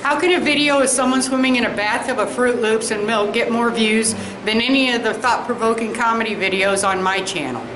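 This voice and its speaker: A woman's voice: fairly high pitch, fairly quick, hardly any pauses, natural voice. A woman playing an exasperated small comedy creator making a protest in a sketch. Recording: echoey, noisy room ambience.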